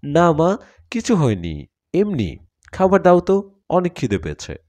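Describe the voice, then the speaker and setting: A man's voice: on the high side, huge pitch swings, a little slow, darkish tone, voice pushed up. A man voicing a troubled young male student in a story, earnest and reassuring.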